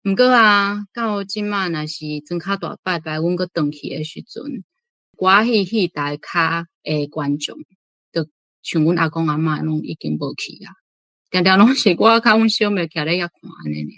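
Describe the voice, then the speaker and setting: Female book-club commentator, Taiwanese accent, lowish voice, informal reflective talk, dry sound. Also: moderate pace, fairly bright tone, no echo. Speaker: reading club host, guest commentator.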